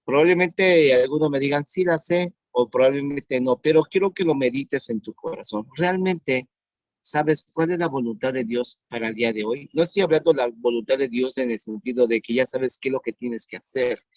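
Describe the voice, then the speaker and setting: A man in his fifties preaching an online sermon as pastor, earnest and repeating his questions slowly for emphasis. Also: mid-range voice, darkish tone, no room sound. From Mexico. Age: 50s. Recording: dull dry sound.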